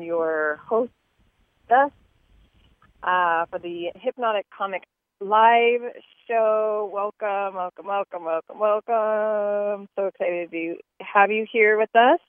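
A woman hosting a casual interview show, chatty and quick to laugh, with many fillers. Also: mid-range voice, no echo. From New Jersey. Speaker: host. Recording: tinny phone-like line, dry.

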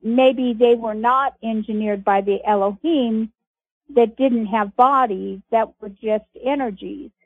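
Woman reader, deliberate and unhurried, slightly husky, muffled telephone sound. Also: on the high side, dry recording. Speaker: reader of archived messages on a conference call. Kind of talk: reading a transcript aloud. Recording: muffled phone-line audio.

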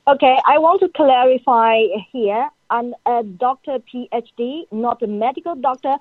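Female guest, halting and filler-heavy, heard over a dry, tinny phone line. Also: a little high, dry recording. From China. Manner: halting, frequent uh fillers, non-native grammar. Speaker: guest, PhD in psychology. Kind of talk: phone-in interview answers.

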